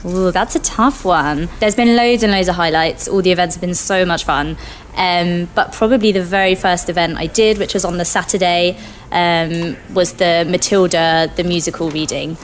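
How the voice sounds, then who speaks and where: A woman's voice: on the low side, steady tone, normal speed, slight background noise. A woman giving a casual, chatty interview as a guest events coordinator, informal and effusive in how she talks.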